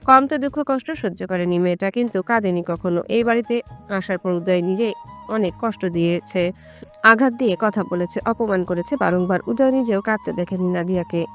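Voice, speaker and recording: A woman storyteller reading a romantic story as scripted, dramatic narration. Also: medium pitch.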